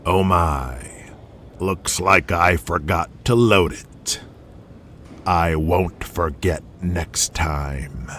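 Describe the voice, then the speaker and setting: A man playing a menacing veteran wrestler in an audio drama, coldly vengeful, delivering slow and deliberate threats. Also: low-pitched, a bit bright, a little hiss or hum, full character voice. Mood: mocking threat.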